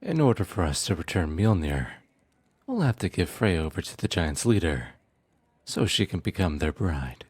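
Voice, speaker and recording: A man acts out a scheming trickster god in a fantasy audio drama. His voice is deep and a touch rough, recorded dry and close, with lively swoops as he teases with unhurried, theatrical politeness. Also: no room sound, heavily acted. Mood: smooth, teasing. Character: sly, teasing trickster god, smooth-talking schemer. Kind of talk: audio roleplay acting.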